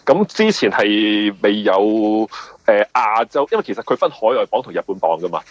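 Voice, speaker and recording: A low-voiced man with a Hong Kong accent, speaking as an interview guest. His voice is husky and rough, and he explains things conversationally, stopping and repeating words as he goes. The sound is dull and lo-fi. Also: sing-song, normal speed, no room sound. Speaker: mystery novelist being interviewed.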